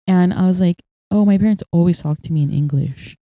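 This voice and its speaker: A young woman co-hosting a casual chat podcast, telling stories in an animated way and acting out what people said. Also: fairly low pitch, a little fast, no echo. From United States. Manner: storytelling, animated, acts out quotes. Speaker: podcast co-host.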